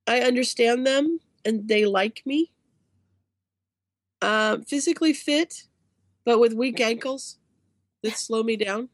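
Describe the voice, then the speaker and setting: A woman in her forties playing a tabletop role-play game, calmly reading out her character notes. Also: on the high side, slow, long pauses, no room sound. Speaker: player. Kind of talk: casual podcast chat.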